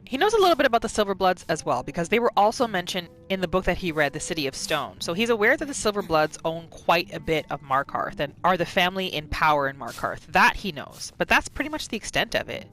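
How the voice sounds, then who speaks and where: A woman giving expressive, storytelling third-person commentary as she roleplays through a video game. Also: lowish voice, quick pace, few pauses. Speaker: gamer narrating a roleplay playthrough. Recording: faint game music behind.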